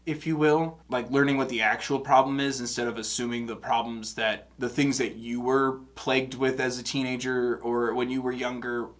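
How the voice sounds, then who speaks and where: A man's voice: mid-range voice, flat delivery, normal speed, hardly any pauses. Rambling man with a stuffy nose. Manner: rambling, many "like"s and restarts. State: congested nose. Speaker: music reaction host. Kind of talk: casual reaction and analysis.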